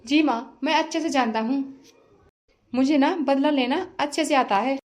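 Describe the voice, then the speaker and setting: A woman's voice: high voice, normal speed, darkish tone, a little hiss or hum, lightly acted. A woman playing a smug, vengeful young man. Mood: taunting. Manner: smug, taunting.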